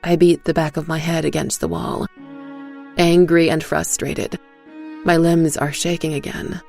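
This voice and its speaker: A woman reading a first-person dramatic story in a suspenseful, deliberate way, as a scripted narrator. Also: low-pitched, little pitch movement, gravelly, a little mellow. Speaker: narrator and voice actor. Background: faint music.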